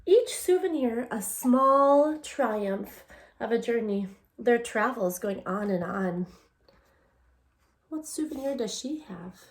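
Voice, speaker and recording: A woman with a fairly high voice reads a picture book aloud as a teacher would to young children. She takes her time, shaping phrases expressively and turning to her listeners with simple, conversational questions. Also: animated, slow pace, rough recording. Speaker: elementary English language development teacher.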